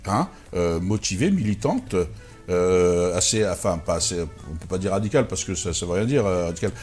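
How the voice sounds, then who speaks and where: A man hosting a talk show, interviewing a guest in a halting way, repeating words and filling pauses. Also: deep voice, animated, darkish tone, faint music behind.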